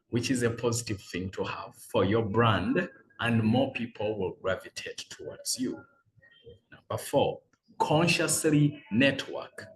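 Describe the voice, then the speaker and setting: A man, a business coach giving earnest, measured motivational advice as numbered tips. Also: medium pitch, low-quality recording. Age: 40s. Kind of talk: motivational talk read as a letter.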